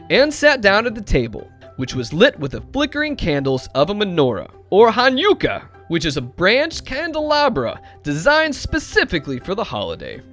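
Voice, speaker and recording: Male storybook narrator, expressive and highly varied in intonation, with music underneath.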